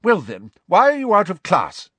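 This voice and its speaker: A man voicing a stern woman professor with a sharp, questioning manner in a storybook. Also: high-pitched, wildly swooping pitch, moderate pace, slightly rough, no room sound, voice pushed up, exaggerated voice.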